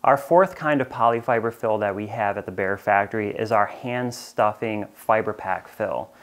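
A man in his thirties with an American accent, presenting a clear, steady explainer in a bright studio on a lapel mic. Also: on the low side, moderate pace. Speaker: company presenter. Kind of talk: product explainer. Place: bright studio with shelves of plush toys.

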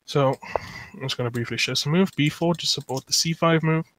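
A man's voice: a little high, a little fast, fairly bright tone, slight background noise. South African man lecturing on chess. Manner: thinking aloud with many fillers, reading chat. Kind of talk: live online chess lecture.

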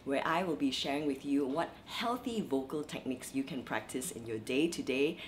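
A young woman vocal coach, from Malaysia, giving lively, chatty tips in a vlog while her voice is dry and scratchy. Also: extremely low pitch, moderate pace. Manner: lively, chatty, many fillers. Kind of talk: instructional vlog.

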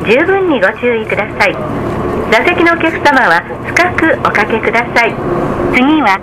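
A woman delivers scripted announcements on a bus in a high voice. Her delivery is formal and polite. The recording is full of loud engine and road noise. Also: sing-song, moderate pace.

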